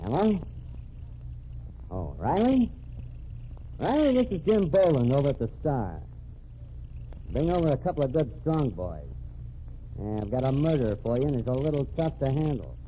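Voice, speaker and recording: A cocky, wisecracking newspaperman, as an actor plays him in a vintage radio drama, with a lively, swinging delivery. He sounds cool and quietly menacing. The muffled old transcription carries a faint hiss. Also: medium pitch, moderate pace, pauses often, close to an everyday voice. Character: cocky undercover ex-reporter man, cool tough. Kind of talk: 1940s radio acting.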